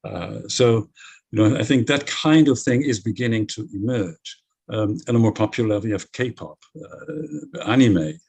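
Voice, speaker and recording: A middle-aged Dutch-native man speaking on a discussion panel, his voice low-pitched and a bit husky. He argues his points bluntly and emphatically. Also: animated, moderate pace, a little mellow.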